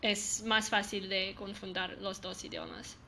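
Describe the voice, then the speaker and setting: A woman's voice: mid-range voice, sing-song, a little slow, pushed and bright. A woman hosting a casual chat over a video call, talking hesitantly and searching for words as she goes. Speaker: host.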